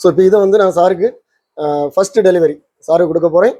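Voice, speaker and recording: High-pitched, quick-talking man demonstrating electronics. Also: dark tone, dry recording. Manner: explanatory, mixes English words into his talk. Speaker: channel host and electronics maker demonstrating a kit. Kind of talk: casual product demonstration to camera.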